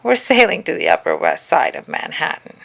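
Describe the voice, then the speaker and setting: A woman's voice: fairly low pitch, wildly swooping pitch, a little fast, creaky, a bit bright, exaggerated voice. A woman voicing a practical, weary but caring mother in a children's story. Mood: gentle.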